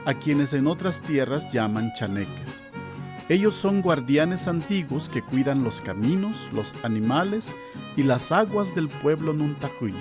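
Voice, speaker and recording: A man narrating a scripted legend in a calm, measured way. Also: medium pitch. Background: guitar music.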